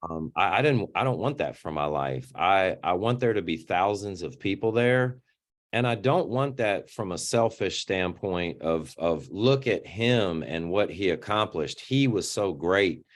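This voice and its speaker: Man, middle-aged, deep voice, very low pitch, earnest, reflective interview guest, repeats words when starting. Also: expressive, a little slow. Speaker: interview guest, youth organization founder.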